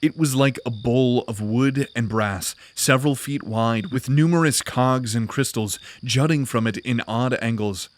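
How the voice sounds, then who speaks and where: A man delivers dramatic fantasy narration in a medium-pitched voice. His storytelling is deliberate and grave.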